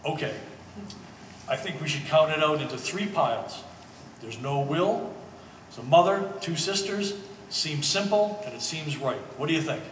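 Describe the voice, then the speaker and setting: A man playing a reasonable, easygoing family mediator in a stage play, speaking in a measured, persuasive, conversational way. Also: fairly high pitch, lively intonation, close to an everyday voice. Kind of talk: live stage play acting. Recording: echoey hall, distant mic, faint noise.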